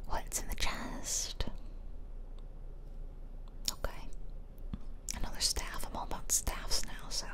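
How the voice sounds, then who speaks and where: A woman giving whispered ASMR game commentary with playful, casual asides, recorded close to the microphone. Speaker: gaming streamer. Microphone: close ASMR microphone, ear to ear.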